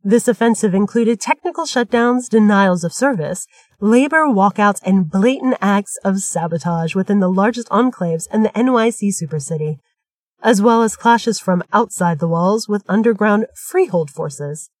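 A woman playing a composed news correspondent, reading a scripted report in a measured, formal way for an audio drama. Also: medium pitch, animated, darkish tone, dry recording, natural voice. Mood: composed, serious.

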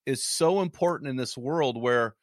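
A man hosting an interview, casual and enthusiastic, with quick laughs. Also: mid-range voice, no echo, clean studio sound. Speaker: interview host.

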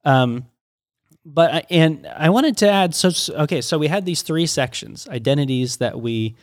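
A man's voice: a little high, animated, dry recording, clean studio sound. A middle-aged man, a pastor, talking through ideas in a casual teaching conversation, with frequent restarts and stammers. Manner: halting, many restarts and stammers.

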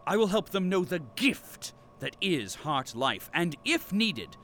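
A man's voice: high-pitched, big pitch swings, measured pace, a bit bright, a little hiss or hum, full character voice. A man playing a pompous captive archivist and company loyalist in an audio drama, with formal, grandiose diction. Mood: solemn, fervent.

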